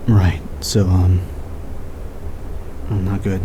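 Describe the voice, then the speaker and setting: A man's voice: low-pitched, level tone, extremely slow, background noise. An actor playing an awkward, cynical, short-tempered male investigator in a naturalistic audio drama. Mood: awkward, hesitant.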